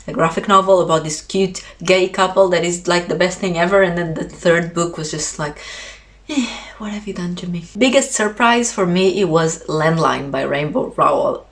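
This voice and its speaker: Lower-voiced woman, expressive book vlogger. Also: moderate pace. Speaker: book reviewer video host. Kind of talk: casual chat to camera.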